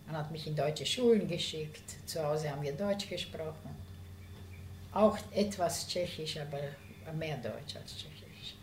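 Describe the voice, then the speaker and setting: An older woman speaking in a calm, measured way during an interview about her family. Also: low voice, slight background noise. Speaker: interviewee and author. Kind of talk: conversational interview. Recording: roomy room with faint ambience.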